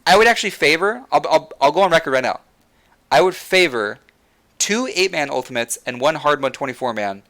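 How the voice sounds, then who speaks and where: A young man talks to his livestream chat, building his points with repeated words and restarts. His voice is bright and tense. He is audibly losing his voice as he goes. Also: medium pitch. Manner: passionate, repeats words while thinking. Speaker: livestream host. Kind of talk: casual gaming rant to chat.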